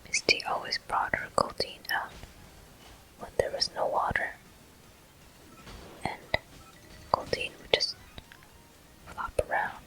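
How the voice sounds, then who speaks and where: A person whispering gentle, unhurried ASMR commentary as a video game walkthrough host. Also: low-quality recording. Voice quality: soft, breathy whisper.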